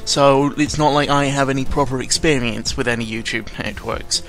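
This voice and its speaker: A young man talks off the cuff in a mid-pitched voice, with music playing behind him. He rambles and stumbles, cutting himself off mid-thought. His delivery is dry, sarcastic and self-deprecating, with an exasperated edge. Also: steady tone, a bit bright. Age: young adult. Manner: rambling, halting, full of self-interruptions. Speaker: YouTuber recording an audio log. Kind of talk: casual unscripted news commentary. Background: background music.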